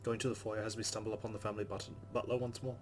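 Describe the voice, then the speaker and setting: A man's voice: lowish voice, little pitch movement, very fast. A man hosting a let's play, reading visual novel narration aloud and adding casual commentary.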